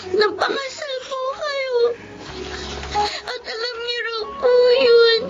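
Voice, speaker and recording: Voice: very high-pitched, steady tone, takes it slowly. A performer with a Filipino accent playing a tearful, polite young daughter who is loyal to her father in a radio drama. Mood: tearful devotion. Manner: polite, plaintive, weepy. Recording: dull lo-fi audio under loud music.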